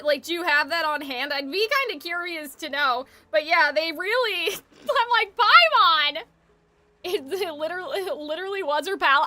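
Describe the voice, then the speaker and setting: A woman giving casual live gaming commentary in an animated, rambling, opinionated way. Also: very high voice. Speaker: game streamer. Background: game music.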